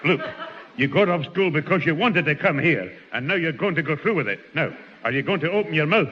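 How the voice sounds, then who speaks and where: A stern scolding grandad. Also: male voice, on the high side, animated, a little fast, fairly bright tone. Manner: scolding, impatient. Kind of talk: 1960s radio comedy acting. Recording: dull old radio recording with reverb.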